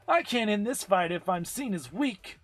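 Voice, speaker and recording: A brash young woman fighter, acted out by a man, pitched well above natural talk and swooping theatrically. The voice is rough-edged and taunting, hot-tempered and overdramatic, over clear background music. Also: expressive, normal speed, no room sound. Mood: tense, determined. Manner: shouted, taunting, overdramatic delivery.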